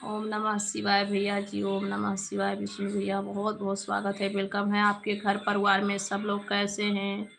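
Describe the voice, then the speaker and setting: A hoarse woman, hosting a casual live stream, reading out viewers' names and greeting them. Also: mid-range voice, monotone, moderate pace, hardly any pauses, a bit bright, faint music behind.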